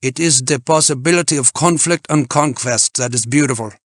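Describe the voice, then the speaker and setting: Man doing a stiff, pedantic climber, pitched up. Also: fairly quick, no room sound, studio quality, close to an everyday voice. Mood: forceful, admonishing. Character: domineering, pedantic German male mountain climber.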